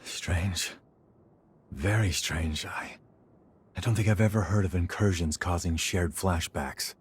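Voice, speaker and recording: A man's voice: low voice, slow, breathy edge, heavily acted. An actor playing an easygoing, wry male hunter in a video game cutscene, speaking in a casual, colloquial way. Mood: puzzled, musing.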